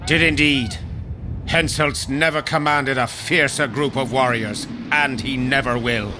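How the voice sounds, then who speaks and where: A gruff, embittered old campaigner from a fantasy video game, played by a male actor. He recounts war grimly and coarsely at a middling pitch. Steady noise sits clearly behind his voice. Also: measured pace, natural voice. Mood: proud. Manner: grim, coarse-tongued storytelling. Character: embittered veteran soldier, gruff survivor of a lost regiment. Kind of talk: dramatic video game acting.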